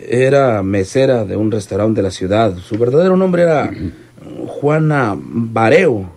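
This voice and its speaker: An actor in a radionovela playing a wary informant who talks hesitantly, in folksy rural phrasing. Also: male voice, medium pitch, animated, unhurried, creaky, darkish tone. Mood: wary, confiding. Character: wary male informant recounting an overheard murder plot. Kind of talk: old radionovela acting.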